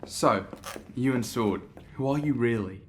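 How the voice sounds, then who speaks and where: An eager young man with a medium-pitched voice played by an actor hopes to manage influencers in this audio drama. His talk is casual, inquisitive and full of questions. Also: a little slow, a little hiss or hum, lightly acted.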